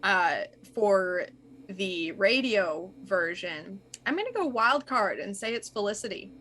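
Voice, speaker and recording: A woman actor in a casual chat, weighing her guesses slowly and dropping wry quips. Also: mid-range voice, animated, a bit bright. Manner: measured, thinks aloud, quick wry quips. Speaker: actor and cast member.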